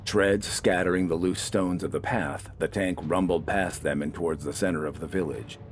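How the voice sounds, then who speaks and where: Very deep-voiced man narrating a story. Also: fairly even intonation, moderate pace, a little hiss or hum. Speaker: audiobook narrator. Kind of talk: scripted dramatic story narration.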